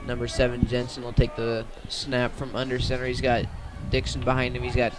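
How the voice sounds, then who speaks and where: A man's voice: medium pitch, completely flat delivery, brisk. A man doing live radio play-by-play of a football game outdoors, in short clipped phrases. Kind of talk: live radio sports commentary.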